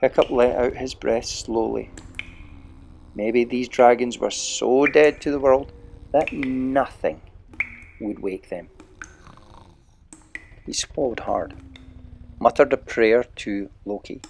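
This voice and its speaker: A man reads a children's adventure story aloud at a slow, deliberate pace, leaning on dramatic pauses. His voice sits at an ordinary middle pitch, and a faint hiss of noise lies under the recording. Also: gravelly, darkish tone. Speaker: narrator.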